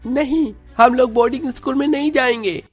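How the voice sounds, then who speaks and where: A man's voice: extremely high pitch, moderate pace, breathy edge. A man voicing a defiant, cheeky schoolboy in a cartoon story, with an insolent manner. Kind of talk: Hindi cartoon story dialogue.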